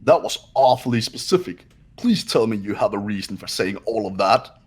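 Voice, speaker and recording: A man's voice: on the low side, lively intonation, normal speed, breathy edge. A man playing a condescending, confident young rich student in an amateur game dub. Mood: disdainful. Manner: condescending, skeptical.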